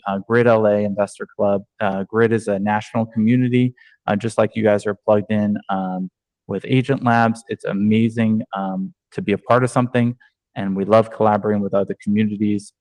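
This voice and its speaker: A man from New York talks through an online training on a video call, in a fairly low voice. His delivery is conversational, full of ums and restarts, and the sound is dry and close. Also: steady tone, a little fast, a little mellow, no echo, low-quality recording. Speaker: real estate agent and trainer.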